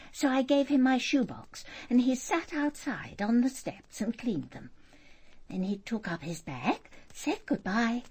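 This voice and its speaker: A woman's voice: fairly high pitch, darkish tone, slightly rough, heavily acted. An actress playing a woman witness in a radio drama, recounting events in a matter-of-fact, clipped way. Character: woman witness plainly recounting a visitor's afternoon.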